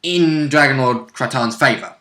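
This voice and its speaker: A man's voice: mid-range voice, normal speed, bright, forceful tone. A man co-commenting in a casual discussion of a tabletop game, weighing the odds in a confident, analytical way. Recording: roomy echo.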